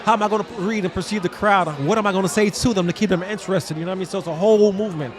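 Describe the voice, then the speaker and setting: A man's voice: high-pitched, racing, a faint crowd. A man, a guest DJ talking animatedly and streetwise in a casual interview. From Trinidad. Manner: animated streetwise talk, keeps saying "you know what I mean". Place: outdoors.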